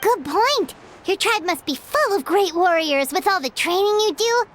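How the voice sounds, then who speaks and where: A woman voicing an excitable tiny female floating companion who talks about herself in the third person, with a high squeaky childlike voice in game audio with background music. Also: normal speed, slight background noise. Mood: excited. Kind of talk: game voice acting.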